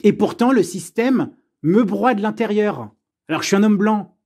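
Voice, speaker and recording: High-voiced, exasperated man ranting. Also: expressive, normal speed, a little mellow. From France. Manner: exasperated, sarcastic, blunt, swearing. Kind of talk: unscripted personal rant.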